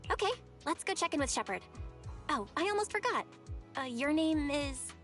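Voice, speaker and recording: High-voiced playful young woman. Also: sing-song, normal speed, fairly bright tone. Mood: friendly, businesslike. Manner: playful, casual. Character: chipper, teasing young sister in a game. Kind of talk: video game voice acting. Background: game music.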